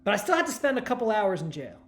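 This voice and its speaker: A man in his thirties from New Jersey, telling stand-up stories with a dry delivery and deadpan punchlines. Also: high voice, fast talker. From New Jersey, USA. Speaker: stand-up comedian and journalist. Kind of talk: stand-up storytelling.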